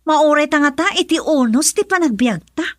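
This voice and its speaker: A high-voiced fed-up wife. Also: female voice, animated, normal speed, a bit bright, dry recording, clean studio sound. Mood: exasperated. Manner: scolding, nagging. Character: exasperated wife fed up with poverty. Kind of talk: radio drama acting.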